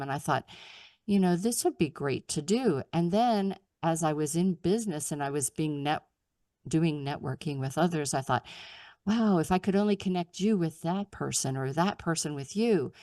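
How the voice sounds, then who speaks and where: Older female podcast host, low and gravelly, encouraging tone. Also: mellow, no room sound, studio quality. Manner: upbeat, encouraging. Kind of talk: casual interview talk.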